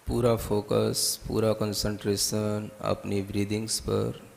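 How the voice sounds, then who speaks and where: Male, Indian accent, spiritual teacher, guided meditation, calm, very slow delivery, creaky voice, long reverb. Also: lowish voice. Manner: calm, deliberate instruction with long pauses.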